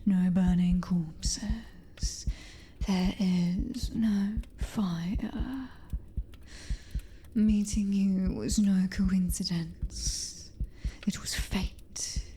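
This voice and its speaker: A woman playing a cruel, possessive vampire mistress in an ASMR roleplay, with a British accent and a breathy, taunting whisper. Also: mid-range voice, fairly even intonation, warm tone, slight background noise. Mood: menacing, coaxing. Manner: slow, drawn-out, taunting endearments.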